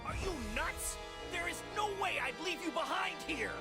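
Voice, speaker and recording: An actor playing a small, plucky, devoted husband and fighter in a video game, earnest and excitable, with a raspy, strained voice and music behind. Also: male voice, very high-pitched, normal speed. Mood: alarmed, protective. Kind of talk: English video game voice acting.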